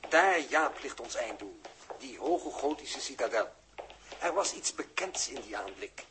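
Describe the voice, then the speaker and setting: A man's voice: mid-range voice, expressive, background noise, natural voice. A man acting a confident space crew leader, prodding and instructive, in a 1960s radio drama. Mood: insistent. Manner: brisk, instructive. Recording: old 1960s radio recording.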